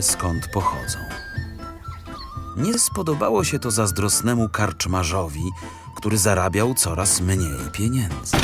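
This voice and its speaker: A man narrating a fairy tale from a script in an expressive, dramatic storytelling manner. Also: sits low. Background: music.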